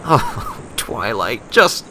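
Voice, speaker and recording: A man's voice: medium pitch, huge pitch swings, extremely slow, noisy background, exaggerated voice. A man voicing a cheeky, blunt young female pegasus pony in a storybook, wry, curt and reluctant. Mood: exasperated. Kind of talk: storybook character voice.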